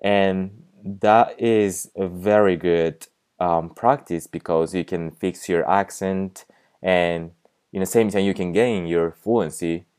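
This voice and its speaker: Japanese-accented guy doing a chill podcast, low clean voice, lots of likes and ums. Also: fairly even intonation, a little mellow, clean tone. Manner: halting, searches for words with frequent "like" and "um". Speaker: podcast host. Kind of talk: casual solo podcast tips.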